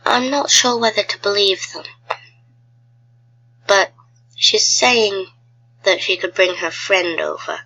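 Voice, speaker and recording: A woman's voice: medium pitch, slow pace, creaky, long pauses, bright, forceful tone, muffled recording. A woman with a cold, chatting casually to viewers as she thinks aloud during gameplay commentary. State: has a cold, sounds ill. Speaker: gaming video creator.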